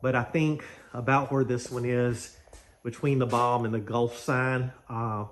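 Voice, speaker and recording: A man's voice: mid-range voice, flat delivery, measured pace, a little hiss or hum. An American man chatting casually to viewers as he works on projects, folksy and informal, thinking aloud. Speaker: host of a garage project vlog. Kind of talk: casual chat to viewers while working. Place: garage and yard.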